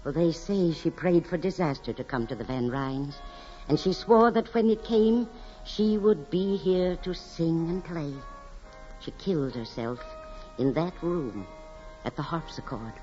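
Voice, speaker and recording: An actress playing a grave, foreboding, gossiping housekeeper in deliberate 1940s radio style. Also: female voice, sits low, dark tone, soft background music. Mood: ominous. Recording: dull 1940s radio recording.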